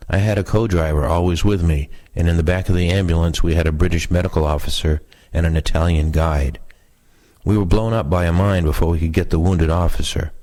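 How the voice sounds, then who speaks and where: A man's voice: low voice, a little mellow. A man reading first-person oral history recollections aloud as scripted narration. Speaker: reader of veterans' recollections.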